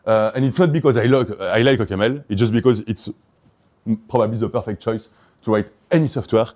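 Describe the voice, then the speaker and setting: Man, Belgian French accent, lowish voice, conference speaker, hesitant, enthusiastic. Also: fast, darkish tone. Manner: hesitant, many fillers and restarts, enthusiastic. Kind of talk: conference talk.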